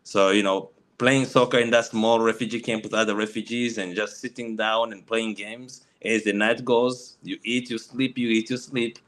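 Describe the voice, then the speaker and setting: Man from the Congo, middle-aged, Swahili native, low voice, halting, restarts often, video call. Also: level tone, fairly bright tone. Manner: halting, many restarts and fillers. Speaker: panelist. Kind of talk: personal story on a panel.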